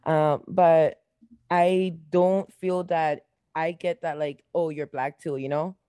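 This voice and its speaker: A woman's voice: deep voice, steady tone, slow pace, mellow, smooth, dry recording, studio quality. A young woman with a light Latin American accent, born abroad, chatting casually as a podcast co-host and rambling with lots of fillers and restarts. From born outside the US. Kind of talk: casual chat.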